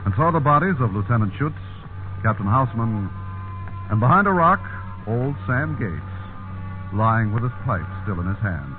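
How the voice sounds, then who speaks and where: An actor playing the grave narrator of a war story, in deliberate 1950s radio style. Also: male voice, mid-range voice, natural voice. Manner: deliberate, dramatic. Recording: narrow, noisy 1950s radio broadcast.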